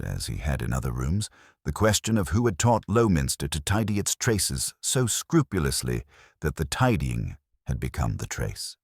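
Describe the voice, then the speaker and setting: A man reading scripted literary mystery narration in a measured, dry, wry manner. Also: deep voice, no room sound.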